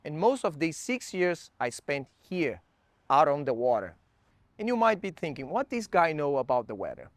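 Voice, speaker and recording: A man's voice: on the high side, big pitch swings, normal speed, dry recording. A young man from Brazil, a native Portuguese speaker with a Brazilian accent, presenting a coastal weather report in enthusiastic, slightly broken English. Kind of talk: casual presenting.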